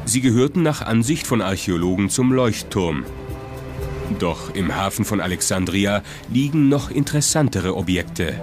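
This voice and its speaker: A man's voice: lowish voice, animated, moderate pace. A man reading scripted documentary narration. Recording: music playing behind the narration. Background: music.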